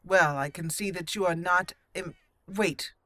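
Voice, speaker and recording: A woman hosting a roleplay let's play, voicing dialogue deliberately and theatrically and adding her own commentary. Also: on the low side, sharp tone, dry recording. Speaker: gaming channel host.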